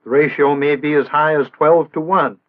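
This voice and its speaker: An American man narrating a 1960s instructional film in a measured, didactic way with precise enunciation. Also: a little high, fairly even intonation. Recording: band-limited, tinny vintage film soundtrack.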